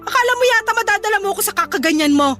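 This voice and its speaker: A woman acting in a radio drama as a scornful, nagging older mother-in-law with a sharp, sarcastic, scolding manner. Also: very high voice, animated, racing, soft background music, full character voice. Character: scornful, nagging older mother-in-law who belittles her daughter's partner.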